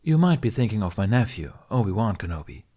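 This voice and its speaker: A man's voice: fairly low pitch, very animated. Man acting a courteous, kindly seasoned warrior monk. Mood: friendly. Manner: polite, gentle, measured.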